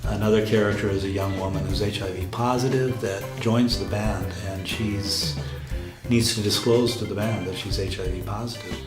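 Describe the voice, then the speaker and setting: Low-voiced man explaining over loud music. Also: steady tone, normal speed. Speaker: radio drama project lead explaining the production. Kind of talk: documentary interview explanation. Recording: roomy echo with loud music behind. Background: music with guitar.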